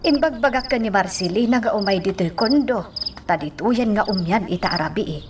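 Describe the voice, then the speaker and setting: A woman's voice: mid-range voice, expressive, fairly quick, far from the mic, background noise, natural voice. Actress as worried Ilocano mother, off-mic. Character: worried, plaintive mother of a soldier. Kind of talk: Ilocano radio drama acting.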